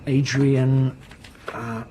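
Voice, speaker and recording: Earnest hospital doctor. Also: male voice, mid-range voice, flat delivery, drawn-out words, warm tone, slight background noise. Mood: measured, concerned. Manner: clinical, explanatory. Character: earnest, hard-pressed male hospital emergency doctor. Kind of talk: naturalistic radio drama acting.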